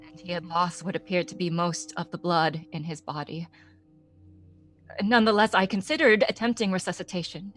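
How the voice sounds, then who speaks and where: An actress playing a haunted woman who gives a measured, formal, halting confession to a killing in a gothic drama monologue. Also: medium pitch, a bit bright. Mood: haunted, tense, then anxious, bewildered. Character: eerily calm woman confessing to a bloody killing.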